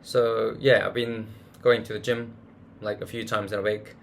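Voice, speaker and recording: A man's voice: on the low side, flat delivery, moderate pace, vocal fry, slight background noise. A man chatting casually through a monthly recap vlog, hunting for words with many fillers and restarts. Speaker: vlogger.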